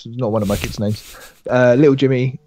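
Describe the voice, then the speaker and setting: A man's voice: medium pitch, a little fast. A man who is a parent, co-hosting a live podcast and joking around with playful asides. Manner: playful, animated storyteller. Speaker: podcast co-host. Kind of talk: casual live-stream chat.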